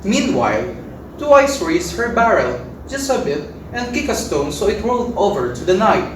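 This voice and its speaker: A woman's voice: medium pitch, very animated, moderate pace. A woman narrating a scripted fairy tale in a readers theater performance. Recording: very echoey room, distant mic, faint noise.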